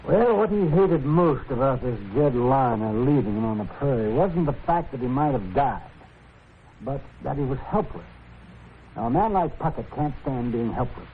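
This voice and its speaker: An American man playing a folksy, frank, thoughtful frontier doctor in a 1950s radio western, with a gravelly voice and halting delivery. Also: mid-range voice, animated, natural voice. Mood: grave, concerned. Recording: tinny, faintly noisy old radio recording.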